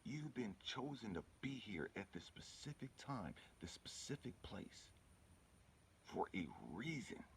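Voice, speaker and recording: A man's voice: medium pitch, expressive, measured pace, a little hiss or hum. A man giving an informal motivational spiritual talk in an emphatic, repetitive, colloquial way, often checking in with the listener. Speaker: spiritual healer and channel host.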